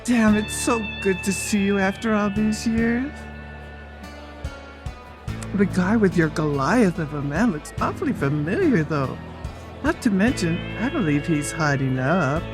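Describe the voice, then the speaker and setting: Sassy bawdy best friend, played by a woman, very animated pitch, slow giggly teasing, comic audiobook. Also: over music, natural voice. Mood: gossipy, amused. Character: sassy, gossipy brunette girlfriend, bawdy and teasing.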